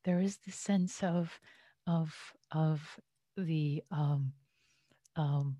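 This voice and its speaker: A woman's voice: low-pitched, very slow, mellow, no echo, highs cut off. A woman born and raised in Iran, a Buddhist teacher giving a dharma talk online, speaking hesitantly with many fillers and restarts. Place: home, online session.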